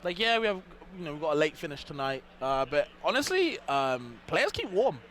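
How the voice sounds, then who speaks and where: A man's voice: fairly high pitch, very animated, fairly quick, fairly bright tone, slight background noise. A man commentating an esports tournament, laid-back and slangy, laughing often between remarks. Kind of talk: casual commentary chat between matches.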